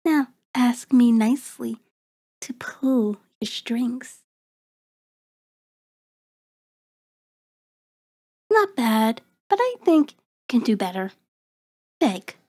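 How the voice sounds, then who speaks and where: A woman playing a seductive, predatory vampire in an ASMR roleplay, soft and breathy with a coaxing, commanding manner, often slipping into a whisper. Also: fairly high pitch. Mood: commanding, menacing. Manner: slow, deliberate, coaxing, controlling.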